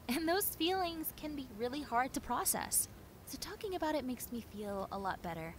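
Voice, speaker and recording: Woman acting a lively, high-pitched friendly zombie girl. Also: slight background noise. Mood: wistful, chatty. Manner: chatty, rambling, expressive, casual asides. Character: friendly, clumsy, nosy young female zombie, chatty and wistful. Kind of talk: roleplay audio drama, conversational monologue addressed to listener.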